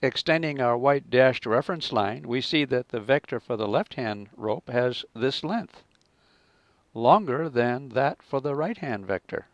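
A man's voice: mid-range voice, animated, measured pace, dry recording. Man narrating a physics lesson, dry sound. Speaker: physics teacher.

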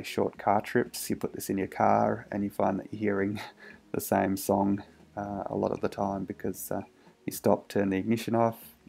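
A man's voice: on the low side, vocal fry, mellow. A man casually narrating a hands-on electronics demo in a hesitant, understated way, thinking aloud. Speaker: electronics hobbyist presenter.

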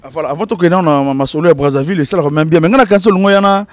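A Congolese man hosting an interview in an outdoor courtyard, speaking quickly in a high voice. His handheld mic sounds close and dry, with little room around it. He keeps the talk lively and playful. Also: no room sound. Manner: animated, laughing, breaks into sung bits. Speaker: host. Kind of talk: casual interview, mixing French and Lingala.

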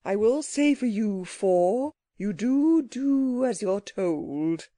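A woman's voice: medium pitch, expressive, slow, warm tone, no room sound. Woman voicing a fierce, sulky little magical creature. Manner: grumpy, imperious, clipped exclamations.

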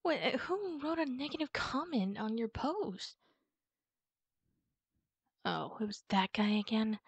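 A woman with a slightly high voice speaks as a supportive friend in a comfort roleplay. Her delivery is informal and reassuring, with halting pauses. The sound is close and dry, with little room around it. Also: animated, breathy edge, no echo. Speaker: ASMR roleplay performer playing a supportive friend. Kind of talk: casual comfort ASMR roleplay, one side of a conversation.

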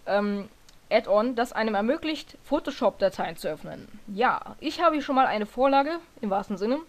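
A hoarse woman talking casually through a screen-recorded software tutorial, often stopping to correct herself and filling pauses with hesitations. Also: fairly high pitch. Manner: rambling, self-correcting, lots of fillers.

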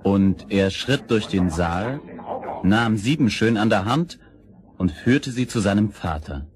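A man narrating a children's fairy tale as storyteller, with expressive, scripted storybook delivery. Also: on the low side, a little slow, a little hiss or hum.

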